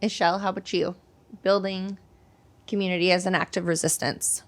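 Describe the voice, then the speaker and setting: A woman's voice: on the low side, level tone, a little fast. A woman hosting a casual podcast, affirming others and leading the discussion. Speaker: podcast host.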